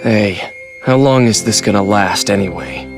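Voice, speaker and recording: A man's voice: on the low side, normal speed, natural voice. A man playing a cynical, surly fighter in a video game, with a blunt, dismissive way of talking. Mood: impatient, wary. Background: music.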